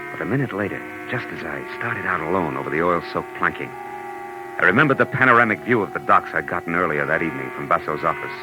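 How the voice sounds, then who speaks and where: An actor playing a tough, cynical, wisecracking private detective in 1940s radio noir style, clipped and sardonic. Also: male voice, low voice, lightly acted, low-quality recording. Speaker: lead actor and narrator of a radio detective drama. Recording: old 1940s radio recording with music.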